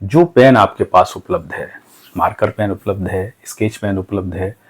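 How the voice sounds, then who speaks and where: A man's voice: low voice, moderate pace, breathy edge. A man teaching informally and earnestly, offering motivational advice with rhetorical questions and listed examples. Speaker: cartooning teacher and channel host. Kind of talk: informal motivational lesson talk.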